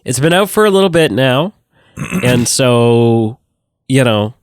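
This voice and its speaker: A man's voice: medium pitch, takes it slowly, smooth, no room sound, studio quality. Nasal-sounding man hosting a movie podcast. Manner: animated, swearing, laughing often. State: sick and nasal. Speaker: podcast host. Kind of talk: casual movie review chat.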